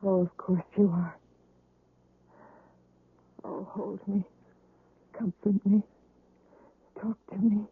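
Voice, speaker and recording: An actress playing a weary, despairing wife recovering from surgery, mournful and yearning, in a radio drama. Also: female voice, medium pitch, fairly even intonation, slow pace, pauses often, husky, narrow, tinny audio, full character voice. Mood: despairing, longing. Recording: roomy, band-limited old radio recording.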